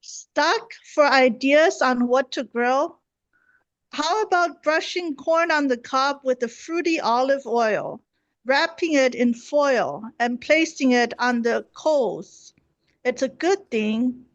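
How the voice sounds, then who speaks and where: A woman's voice: sits high, lively intonation, slow pace, no echo. A woman playing an unflappable homemaking TV hostess in an amateur comedy sketch, giving composed, instructional household tips. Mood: serene, instructive. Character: unflappable female homemaking TV hostess, serenely domestic. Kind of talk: amateur radio comedy sketch read-through. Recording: dull lo-fi online call audio.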